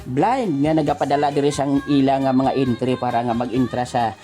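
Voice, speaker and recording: A chatty male radio co-host with a Western Visayan Filipino accent. His pitch sits in the middle and he talks in a joking, informal way, with some music playing behind him. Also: brisk. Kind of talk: joking banter on a radio show.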